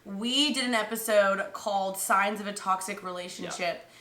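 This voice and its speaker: A bubbly woman hosts her podcast in casual chat, talking in slang and laughing often. Her voice is bright and forward. The room around her sounds echoey. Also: mid-range voice, normal speed. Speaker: podcast host.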